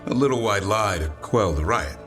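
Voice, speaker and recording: A man's voice: lowish voice, expressive, normal speed. An actor playing an exasperated, wry man in a video game, dry and put-upon in manner. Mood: wry exasperation. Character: exasperated, wry male companion wrangling noisy animals. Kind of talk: video game character acting. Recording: loud music behind.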